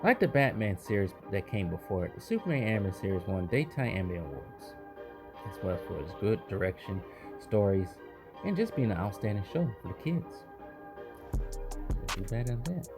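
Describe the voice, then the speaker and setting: A man's voice: on the low side, big pitch swings, fairly quick. A man hosting a review video in a casual, loosely phrased, opinionated conversational style. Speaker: host of an animation history video series. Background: background music.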